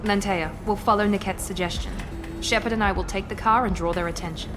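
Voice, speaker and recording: A self-assured female operative played by an actress in a space adventure game. Her voice sits at a middle pitch, controlled and sure, with music playing underneath. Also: steady tone, a little fast, lightly acted. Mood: confident. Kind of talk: scripted video game dialogue.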